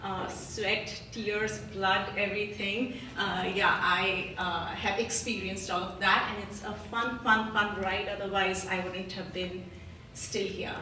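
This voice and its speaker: A woman founder giving a live startup pitch, talking in a hesitant way with many fillers. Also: steady tone, a little slow, bright, forceful tone. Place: large meetup venue room. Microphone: livestream phone mic across the room. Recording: echoey room, heard from far off, noisy.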